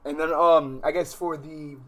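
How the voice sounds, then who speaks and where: A man chatting casually as a livestream host, animated, with slangy, profane speech and rambling unfinished thoughts. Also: fairly high pitch, measured pace. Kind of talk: casual livestream chat, reacting and addressing viewers.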